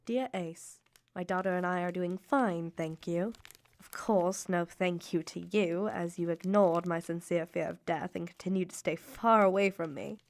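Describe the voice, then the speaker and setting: Woman playing wounded Victorian little sister, low and creaky, formal letter-reading for radio drama. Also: close to an everyday voice. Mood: bitter, hurt. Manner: formal, measured, emotive period diction.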